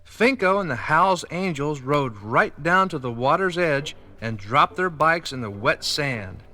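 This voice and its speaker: A man narrating a 1980s children's read-along record in a clear storybook manner. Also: a little high, lively intonation, a little slow, pushed and bright. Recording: vintage vinyl record with faint music.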